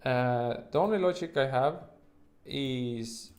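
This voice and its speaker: Halting Estonian-accented man, livestream host. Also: mid-range voice, a little mellow. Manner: halting, rambling, informal, occasional swearing. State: sleep-deprived. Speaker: livestream host, futures trader. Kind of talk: casual livestream chat with viewers.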